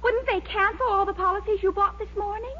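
A woman's voice: extremely high pitch, fairly even intonation, brisk. An actress playing an eager, scheming young girlfriend in a 1940s radio comedy, gushing and persuasive, with an American accent. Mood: insistent, scheming. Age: young adult. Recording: muffled old radio recording, faint hiss.

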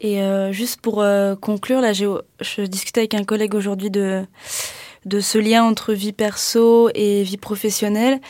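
A woman hosting a casual radio interview, soft-spoken and hesitant with many fillers. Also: medium pitch, level tone, moderate pace, no room sound, studio quality. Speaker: radio host. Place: radio studio.

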